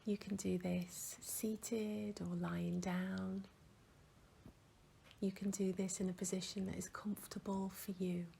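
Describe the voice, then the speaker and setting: A woman leading a guided mindfulness meditation, speaking calmly and gently with long pauses and soft, breathy phrase endings. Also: mid-range voice. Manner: calm, gentle, unhurried with pauses. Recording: faint background noise.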